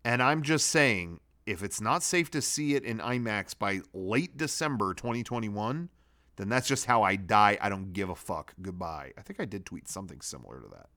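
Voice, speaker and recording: A man's voice: fairly low pitch, expressive, moderate pace, sharp tone. A man hosting a comedy web show, reading out his own drafts and adding blunt, sweary, casual commentary.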